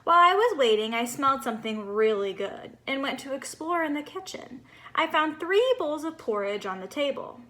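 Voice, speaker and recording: Female reader as naive, cheerful boy snowman for a storybook: high-pitched, expressive, bubbly, innocent. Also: normal speed. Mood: curious, upbeat. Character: cheerful, naive little male snowman telling his story.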